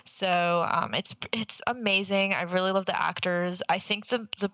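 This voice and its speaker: A woman's voice: mid-range voice, fairly even intonation, moderate pace, a bit bright, no room sound. A young woman co-hosting a podcast, chatting casually and enthusiastically in an informal, rambling way. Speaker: podcast co-host. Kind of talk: casual chat about games and films.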